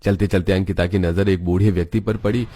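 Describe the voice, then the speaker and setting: A man with a fairly low voice tells a children's story. He reads it as a scripted narration for a cartoon. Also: steady tone, fairly quick, dark tone, soft background music.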